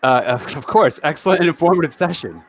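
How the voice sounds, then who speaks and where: A man's voice: fairly high pitch, lively intonation, brisk, crowd noise. A man from the New York area hosting a casual livestream over a video call, chatty and self-deprecating as he reads viewer comments aloud. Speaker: livestream host. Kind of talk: casual live-stream chat.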